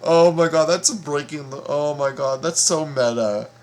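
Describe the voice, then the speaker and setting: A man giving casual, excitable fan commentary in a halting, repetitive way, full of exclamations. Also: on the high side. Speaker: YouTube reactor and fan commentator.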